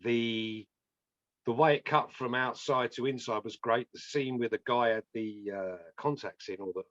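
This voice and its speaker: A man's voice: mid-range voice, moderate pace, a bit bright, dry recording. A man giving an enthusiastic and encouraging review in a casual chat. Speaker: guest reviewer. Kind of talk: casual video call chat. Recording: dull lo-fi mic.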